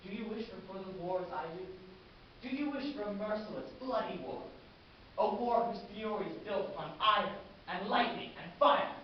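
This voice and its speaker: A man playing a fanatical, war-loving military commander in a grandiose, savoring theatrical monologue, addressing his battalion with deliberate oratory. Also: high voice, natural voice. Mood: impassioned, rousing. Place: large echoing room, festival stage performance. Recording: echoey hall from far off, muffled, hissy.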